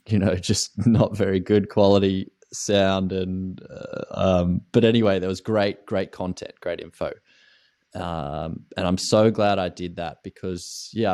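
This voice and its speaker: A man with an Australian accent and a low voice talks through his coaching business. He rambles, stacks fillers and restarts his sentences as he thinks aloud. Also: a little mellow. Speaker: online ski coach. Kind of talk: casual interview answers.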